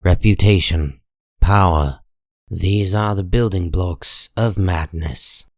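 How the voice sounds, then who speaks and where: A man reading dramatic comic-book narration in a grave, measured and deliberate manner. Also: low voice, dry recording, studio quality.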